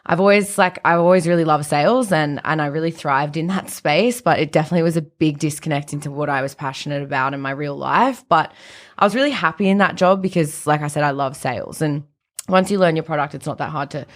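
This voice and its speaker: A young woman from South Africa, a business co-founder talking in a friendly, easy way as a podcast guest. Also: sits low. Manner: friendly, laughs easily. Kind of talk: casual podcast interview.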